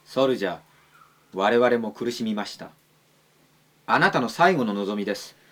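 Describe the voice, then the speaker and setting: A man playing a dutiful, deferential captain in an amateur radio drama, formal, earnest and measured. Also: lowish voice, lively intonation, lightly acted. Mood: respectful. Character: dutiful, deferential male captain serving his leader.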